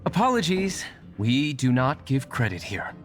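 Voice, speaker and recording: An actor playing an aloof, composed young nobleman and secret vigilante in a video game, formal and dryly measured. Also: medium pitch, big pitch swings, soft background music. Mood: formal, cool.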